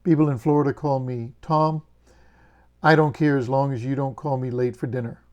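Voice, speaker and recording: Middle-aged bahamian guy talking through his trades, warm relaxed voice, plain and steady. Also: medium pitch, moderate pace, muffled recording. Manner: plain, steady explaining with fillers and folksy jokes. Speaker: options trading channel host. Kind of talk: casual screen-share walkthrough of trades.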